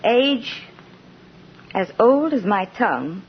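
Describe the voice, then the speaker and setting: A woman acting a brisk, no-nonsense businesswoman and protective mother, crisp, firm and polite, in 1950s radio drama style. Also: a little high, very animated. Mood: businesslike. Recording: dull, noisy 1950 radio recording.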